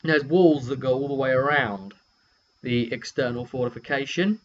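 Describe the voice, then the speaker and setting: A British man with a medium-pitched voice tells a game story in a loose, chatty way. He hesitates and rambles as he goes, unscripted. The recording is lo-fi and dull, with a light hum underneath. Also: moderate pace. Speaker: game master and vidcast host. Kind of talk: casual session recap, unscripted.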